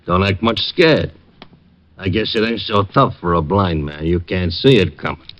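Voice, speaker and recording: An actor playing a menacing gangster in a 1950s radio drama, curt and threatening. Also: male voice, deep voice, normal speed, fairly bright tone. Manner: curt, threatening tough-guy talk. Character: menacing middle-aged male gangster, bullying. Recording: dull old radio broadcast.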